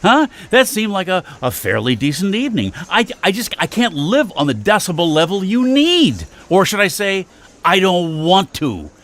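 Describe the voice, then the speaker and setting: An actor playing a worn-out, wry older husband and father in an audio comedy, speaking in halting, exclamatory bursts. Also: high voice, huge pitch swings, fairly bright tone, heavily acted. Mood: weary, fed up. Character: exasperated, worn-out older husband and father, wryly self-deprecating. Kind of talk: stage-comedy audio drama acting.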